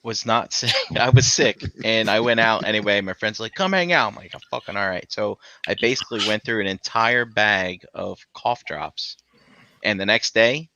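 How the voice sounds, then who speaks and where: A man's voice: fairly low pitch, moderate pace, pushed and bright, slight background noise. A man co-hosting a podcast, telling laid-back stories and swearing casually. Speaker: podcast co-host. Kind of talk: casual chat. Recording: dull lo-fi sound.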